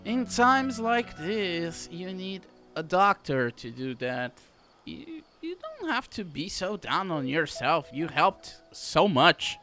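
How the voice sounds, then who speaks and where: A woman's voice: fairly low pitch, very animated, takes it slowly, fairly bright tone. A woman giving live gameplay commentary, talking back to the characters in an encouraging way. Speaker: gaming video host. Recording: game music behind the voice. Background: video game music.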